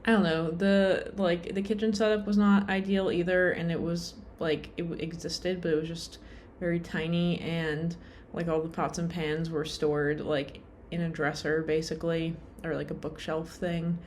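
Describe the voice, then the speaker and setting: A woman's voice: on the low side, little pitch movement, normal speed, hardly any pauses, darkish tone, slight background noise. A young adult woman vlogging in a casual, rambling way, full of fillers and self-deprecating asides, sounding tired.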